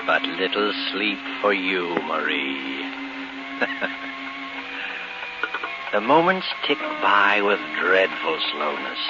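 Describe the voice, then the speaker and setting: An actor playing a sinister, taunting narrator of a 1940s radio mystery, ominous and dramatic. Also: male voice, low-pitched, big pitch swings, takes it slowly, pauses often, heavily acted. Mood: gloating menace. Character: sinister omniscient mystery narrator, gloating over the victim. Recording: muffled 1940s radio recording, loud music behind.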